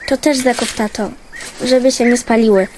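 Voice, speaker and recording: A woman's voice: a little high, steady tone, moderate pace, darkish tone, slight background noise, natural voice. A woman playing an earnest five-year-old daughter in a radio drama. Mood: earnest, pleading.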